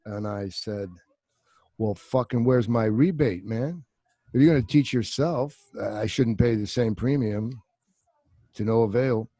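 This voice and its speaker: A man in his fifties with a low voice, a guest actor chatting casually, rambling and joking with plenty of swearing, on a video call with a desk mic at home. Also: a little slow, dark tone, no room sound. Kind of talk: casual interview chat. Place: home room. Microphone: desk microphone on video call.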